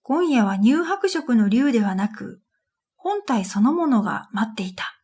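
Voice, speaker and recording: A woman narrating a scripted first-person radio drama in a gentle, unhurried way, with breathy phrase endings. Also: fairly high pitch, sing-song. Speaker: narrator and storyteller. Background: new-age music.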